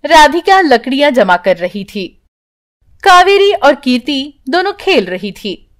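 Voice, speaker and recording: A woman's voice: high-pitched, sing-song, a little slow. A woman narrating a scripted children's story as the storyteller.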